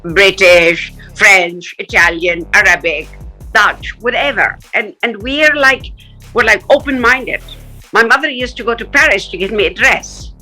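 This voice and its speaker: Animated middle-aged woman from Palestine, bright voice. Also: mid-range voice, unhurried, soft background music, low-quality recording. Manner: animated, acts out quoted voices, laughs often. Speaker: interview guest. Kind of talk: casual storytelling in an interview.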